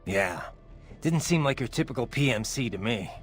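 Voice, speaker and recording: A man's voice: mid-range voice, expressive, moderate pace, husky, faint music behind. An actor playing a brooding, wry cyborg swordsman in a video game, talking in terse phrases. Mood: businesslike.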